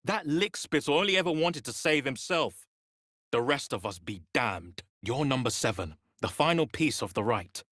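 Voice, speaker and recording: A man's voice: mid-range voice, lively intonation, normal speed, a bit bright, no echo, clean studio sound. An actor voicing a scornful, bitter male vampire spawn in a dramatic video game style, sneering in clipped sentences. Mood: scornful, angry.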